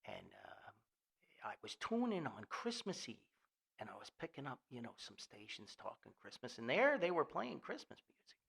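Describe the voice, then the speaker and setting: An older American man hosting a podcast in a rambling, nostalgic monologue, folksy, halting and enthusiastic. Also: medium pitch, huge pitch swings, creaky, a bit bright, slightly rough. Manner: folksy, halting, enthusiastic, refers to himself by name. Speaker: podcast host.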